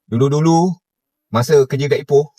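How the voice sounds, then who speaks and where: A man's voice: fairly high pitch, normal speed, warm tone, no echo. A man with a Malaysian accent giving casual commentary and reminiscing in an informal way. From Johor, Malaysia.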